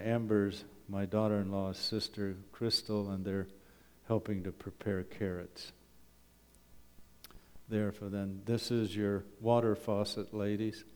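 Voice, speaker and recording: Folksy man in an echoey hall. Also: on the low side. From Canada. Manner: humorous, conversational, with rhetorical questions. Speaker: wilderness school principal giving a presentation at church. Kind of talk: folksy public talk with slides. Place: church hall, talking to a congregation. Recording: echoey hall with long reverb.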